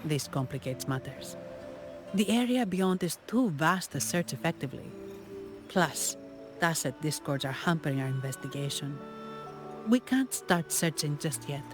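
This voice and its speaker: An actress playing a calm, courteous, analytical investigator in a video game, speaking in a measured, formal way. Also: female voice, low voice, music in the background. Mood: serious, explaining. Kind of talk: video game character acting.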